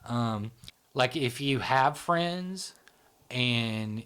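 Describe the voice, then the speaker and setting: A man's voice: mid-range voice, lively intonation, very slow, a bit bright, clean tone. A middle-aged man hosting a casual talk, explaining at length with many fillers like "right?" and "you know". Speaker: show founder and host.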